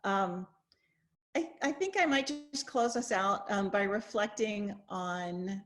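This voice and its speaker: An expressive woman speaking reflectively as a professor hosting an online discussion over a video call. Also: medium pitch, slow pace. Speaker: host, English professor.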